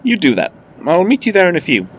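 An actor playing a polite, guarded spy boss with veiled menace in an audio drama, speaking formally and with measured courtesy. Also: male voice, high voice, huge pitch swings, a little hiss or hum. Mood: brisk, reassuring.

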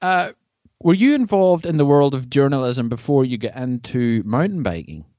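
A man speaks as a podcast host in a dry, close recording with no room sound. His pitch sits in the middle, and he talks in a chatty, informal interview style. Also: expressive, normal speed, mellow, no echo, lo-fi sound. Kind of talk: casual podcast interview chat.

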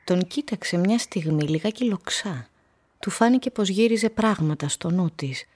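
A female storyteller with a low, husky voice, narrating a village mystery. Her literary radio-play delivery is measured and observant. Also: lively intonation, a little mellow.

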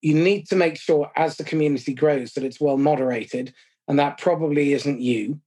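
A middle-aged man hosting a podcast, summing up points in a measured, self-deprecating way. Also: fairly high pitch, mellow, slightly rough. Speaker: podcast host. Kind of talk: conversational podcast interview.

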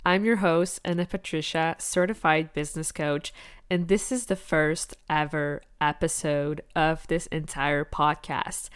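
A woman's voice: fairly low pitch, clear, resonant tone, dry recording, studio quality. A woman who grew up in Quebec and speaks French natively, hosting a solo podcast as a business coach in an upbeat, candid, motivational way. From Quebec, Canada. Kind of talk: casual solo podcast monologue.

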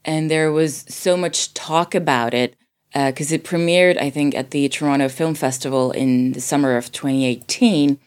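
A woman's voice: low-pitched, steady tone, moderate pace, a little mellow, smooth. A woman from Sweden, talking casually about film as a podcast guest.

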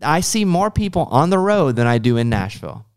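A man's voice: on the high side, very animated, a little fast, clean tone. A man hosting a podcast, chatting casually and acting out little imagined quotes as he talks. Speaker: podcast host. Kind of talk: casual interview chat.

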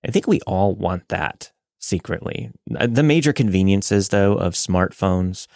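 Low, gravelly American man. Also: expressive, unhurried, darkish tone, no room sound, studio quality. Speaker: podcast co-host. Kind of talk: casual podcast banter.

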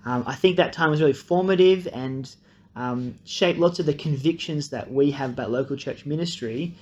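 A man's voice: on the high side, moderate pace, a little mellow, highs cut off. A man, a new pastor, introducing himself in a friendly, earnest way with many ums. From Australia. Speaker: incoming pastor. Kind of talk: casual video introduction.

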